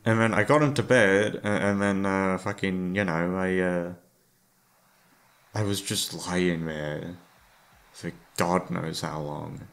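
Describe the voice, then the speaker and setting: Low, gravelly, weary young-sounding man rambling. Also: steady tone, takes it slowly. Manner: sweary, hesitant, self-deprecating. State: tired, downcast. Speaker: audio creator talking to listeners. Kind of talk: rambling casual monologue. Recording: music playing behind the voice. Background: soft background music.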